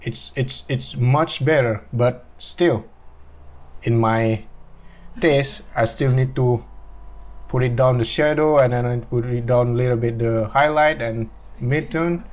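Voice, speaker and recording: A young man whose first language is Indonesian, talking through his editing in a casual, halting home tutorial, often correcting himself. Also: mid-range voice, a little mellow. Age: young adult. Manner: halting, self-correcting, apologetic. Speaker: drone video creator. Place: small room at home, washing machine running.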